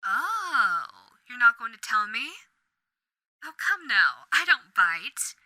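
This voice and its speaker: A woman performs a suave, sadistic female radio demon with old-fashioned manners and a theatrical, toying delivery. The voice is raised and very bright, recorded dry and close. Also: a little high, very animated, slow, no echo, full character voice. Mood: amused. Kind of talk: audio roleplay.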